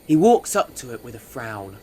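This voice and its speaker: A man's voice: medium pitch, very animated, normal speed, a little mellow, faint music behind. A man reading scripted narration as the narrator of an audio drama.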